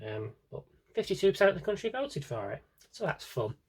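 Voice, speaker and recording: A man's voice: high-pitched, very animated, a little fast, husky. A British man chatting casually through a vlog-style review, wry and opinionated, with rambling asides. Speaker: vlogger showing a collection.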